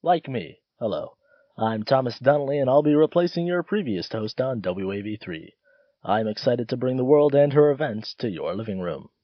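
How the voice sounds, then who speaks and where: A man's voice: mid-range voice, animated, moderate pace, highs cut off, natural voice. A man playing a courteous, earnest radio news host, speaking in a formal broadcast manner.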